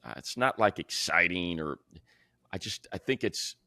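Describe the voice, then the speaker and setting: A man's voice: low-pitched, animated, normal speed, pushed and bright, no room sound, clean studio sound. A man co-hosting a sports podcast, breaking down football in casual, colloquial talk.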